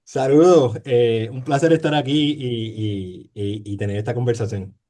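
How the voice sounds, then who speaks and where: A man's voice: medium pitch, moderate pace, warm tone. A man with a Puerto Rican Spanish accent, a sociologist talking in an interview, hesitant, with many fillers, repeated words and tag questions. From Puerto Rico. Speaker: sociologist guest. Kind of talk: conversational interview.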